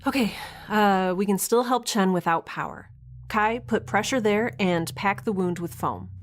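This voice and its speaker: A woman's voice: mid-range voice, measured pace, close to an everyday voice. A woman playing a capable but flustered young spaceship heroine in an audio drama, casual and wry.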